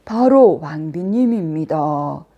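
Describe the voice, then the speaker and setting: A woman voicing a solemn magic mirror in a fairy tale, answering in a measured, formal way. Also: low voice, lively intonation, dull audio, pitched below the performer's own voice, full character voice.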